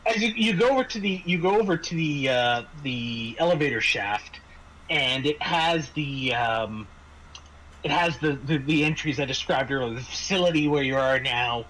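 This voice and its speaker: A man narrating a tabletop roleplaying game as game master, halting and repeating words as he improvises. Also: fairly high pitch, expressive, a bit bright, a little hiss or hum, low-quality recording.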